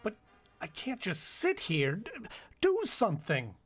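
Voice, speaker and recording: A woman's voice: medium pitch, huge pitch swings, measured pace, faint music behind. A woman playing a frantic, fragile secretary in a melodramatic old-time radio drama, stammering breathlessly and pleading. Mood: anxious, pleading.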